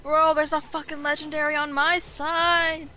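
A woman chatting casually with fellow gamers, slangy, sweary and excitable. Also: high-pitched, moderate pace. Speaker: gamer in a co-op group. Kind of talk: casual gaming chat.

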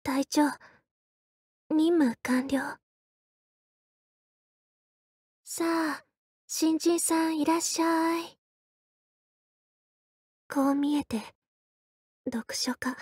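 An actress playing a quiet, reserved young female soldier in a game, soft-spoken and deadpan, speaking in short halting phrases. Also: high-pitched, no echo, clean studio sound. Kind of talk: Japanese game character voice lines.

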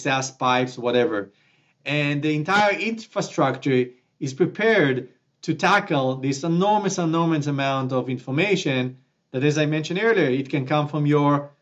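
Animated man on a video call. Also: on the high side, highs cut off. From Israel. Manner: animated, gestures with both hands, many restarts. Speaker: company founder guest. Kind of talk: remote video interview. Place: small office at home, indoors. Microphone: webcam at desk, video call.

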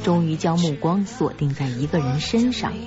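Low-pitched woman narrating over music. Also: lively intonation, normal speed. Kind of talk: scripted narration. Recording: dull recording under loud music.

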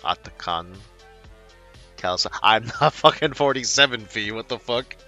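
Twenties male streamer, second-language English, animated swinging delivery, frequent laughs and cursing, music running underneath. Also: on the low side, a little slow, dull audio. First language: not English. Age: 20s. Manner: swears a lot, laughs often, reacts to chat aloud. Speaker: game streamer. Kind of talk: casual live-stream chat while gaming. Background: background music.

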